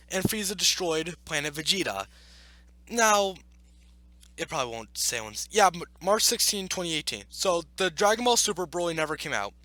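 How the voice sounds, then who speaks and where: A man with a high-pitched, bright voice hosts a casual reaction video. His delivery swings up and down a lot as he argues his points emphatically and circles back to repeat them. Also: no room sound. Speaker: video host reacting to an article. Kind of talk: opinionated casual commentary with read-aloud passages.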